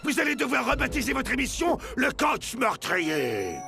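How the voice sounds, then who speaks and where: Explosive, gravelly cartoon football coach. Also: male voice, very high-pitched, huge pitch swings, moderate pace, music in the background, exaggerated voice. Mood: threatening. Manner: bellowing, domineering. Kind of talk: animated cartoon dub acting.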